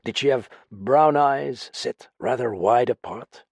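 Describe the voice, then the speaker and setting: A male reader plays a suave storybook detective. The delivery is measured and teasing, with wry pauses, a husky grain and lively swings in pitch. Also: no echo, clean studio sound, heavily acted. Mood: probing, brisk. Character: suave male detective, soothing, slyly amused.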